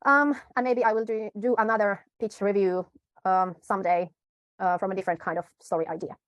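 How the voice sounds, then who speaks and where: A woman coaching viewers through a media pitch in a tutorial, unhurried, pausing and correcting herself often. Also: fairly even intonation, dry recording. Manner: unhurried, with frequent pauses and self-corrections. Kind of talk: tutorial talk to camera.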